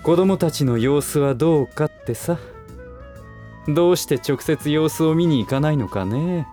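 A man's voice: on the high side, lively intonation, normal speed, mellow, faint music behind, natural voice. An actor playing a smug, condescending aristocratic businessman in a Japanese voice drama, with languid, lordly, teasing phrasing. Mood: needling.

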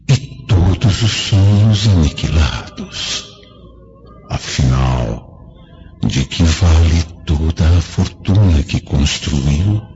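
A Brazilian man acting a compassionate, solemn spirit of an old friend in a religious radio drama, pleading gravely like a preacher. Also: very deep voice, full character voice. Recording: dull lo-fi audio, loud music behind. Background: dramatic music.

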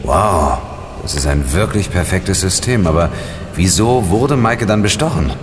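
Young detective, deep voice, practical n a bit wry, audio drama, reverb and music. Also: male voice, animated, a little slow, background noise. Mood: intrigued. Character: level-headed young male sleuth, quick to lead. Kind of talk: German audio drama acting.

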